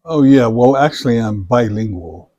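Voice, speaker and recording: Older guy, slow thoughtful answers, warm low-key tone, dry sound. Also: expressive, dry recording. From Samoa. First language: Samoan. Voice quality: measured, thoughtful delivery with frequent fillers. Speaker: interviewee, retired professor. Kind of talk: reflective interview answers.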